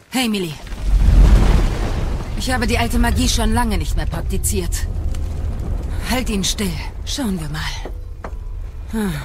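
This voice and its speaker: Casting: stern but caring witch, mid-pitched, unhurried, commanding, audio drama with faint music. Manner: measured, commanding. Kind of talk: German audio drama acting.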